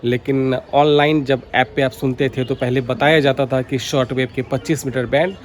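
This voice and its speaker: A man's voice: medium pitch, fairly even intonation, moderate pace. A man giving informal, explanatory vlog commentary, going back over his points as he speaks. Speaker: radio hobby vlogger. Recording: clearly noisy background.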